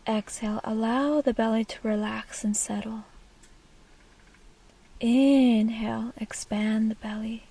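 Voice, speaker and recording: A woman leading a guided meditation in a soft, breathy, half-whispered and soothing delivery. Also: fairly high pitch, fairly even intonation, slow pace, low-quality recording.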